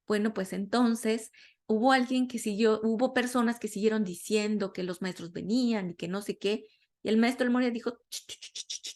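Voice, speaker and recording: Woman teaching a relaxed spiritual class. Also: fairly high pitch. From Latin America. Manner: conversational, self-correcting, searching for words. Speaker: metaphysics teacher. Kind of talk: informal spiritual class talk.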